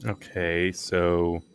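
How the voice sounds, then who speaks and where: A man's voice: deep voice, clean tone. A man chatting casually as he streams a game live.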